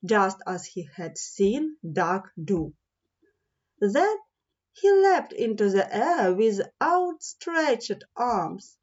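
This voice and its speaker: Slow, lilting woman storyteller. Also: medium pitch, darkish tone, highs cut off. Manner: slow, lilting read-aloud delivery. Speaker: audiobook narrator. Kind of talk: slow storybook narration for children.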